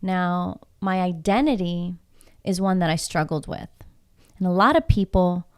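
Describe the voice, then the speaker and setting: A woman's voice: on the low side, measured pace, smooth. A woman from Houston, Texas, whose first language is Spanish, talking as a podcast guest, emphatic and reflective and full of rhetorical questions. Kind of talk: casual podcast conversation.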